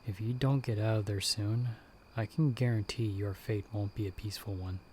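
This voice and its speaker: Guy doing a stern hushed monster hunter for asmr roleplay, lowish voice, sarcastic, crickets and some noise behind. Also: steady tone, moderate pace, natural voice. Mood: stern, sardonic. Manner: hushed, stern, sardonic, urgent instructions. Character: gruff, sardonic male monster hunter, a disembodied guiding voice. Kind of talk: ASMR audio roleplay, addressing the listener.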